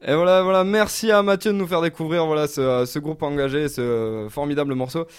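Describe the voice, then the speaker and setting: A man's voice: fairly high pitch, brisk, clean tone. Hesitant male radio host, casual. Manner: hesitant, many fillers and restarts. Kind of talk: casual chat between songs.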